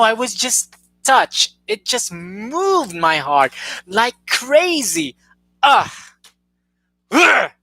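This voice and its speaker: A woman's voice: lowish voice, sharp tone, no room sound. A young woman hosting a live stream, talking earnestly and gushing, often restarting her sentences. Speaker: YouTube host. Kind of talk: casual live-stream chat.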